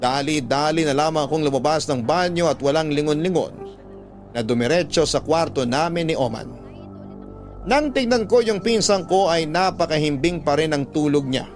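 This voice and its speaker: A man's voice: a little high, animated, moderate pace, soft background music, natural voice. An actor playing a haunted young man who narrates his frightening experiences in a radio drama. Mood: fearful. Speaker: narrator of a letter.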